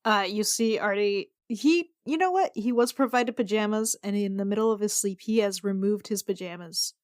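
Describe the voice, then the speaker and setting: A woman's voice: mid-range voice, moderate pace, darkish tone, no echo. Dry-recorded woman telling game story. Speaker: player in a tabletop roleplaying podcast. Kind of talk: casual comic storytelling.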